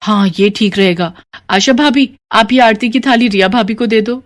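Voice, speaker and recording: A woman voicing an eager, easily swayed young bride in an animated story, gushing and girlish. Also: a little high, moderate pace, pitched above the performer's own voice, natural voice. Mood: breezy, insistent. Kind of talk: animated moral story character dialogue.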